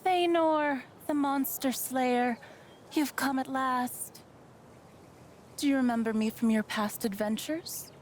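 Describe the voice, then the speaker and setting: A woman's voice: sits high, slow pace, slight background noise. A woman voicing a benevolent forest spirit in a video game, speaking in a grand, solemn, theatrical manner. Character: stately, benevolent forest spirit greeting a young hero.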